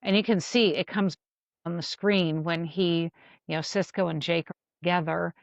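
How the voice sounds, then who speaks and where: A woman co-hosting a casual fan discussion, speaking haltingly as she searches for words, on slightly muffled audio. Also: fairly low pitch, fairly even intonation, creaky, dry recording. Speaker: podcast co-host.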